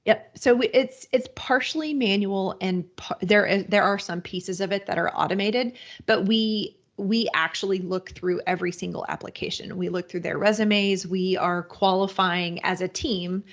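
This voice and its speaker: A woman's voice: mid-range voice, steady tone, normal speed, few pauses. A woman guest on a podcast, explaining her business in a rambling, casual way with many restarts and fillers. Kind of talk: casual interview answers.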